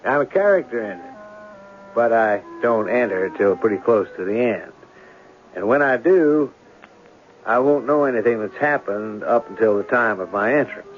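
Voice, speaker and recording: An actor playing a wry, folksy author who narrates a tale in a radio drama. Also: male voice, fairly low pitch, expressive, normal speed, natural voice. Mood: wry, genial. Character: wry, folksy, unhurried storyteller, a famous author. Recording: tinny old radio broadcast.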